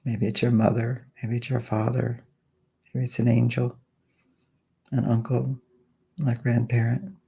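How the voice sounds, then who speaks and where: Soft, gravelly-voiced man guiding a meditation. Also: mid-range voice, monotone, rough recording. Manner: slow, soft, soothing delivery with long pauses. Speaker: meditation guide. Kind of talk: guided healing meditation.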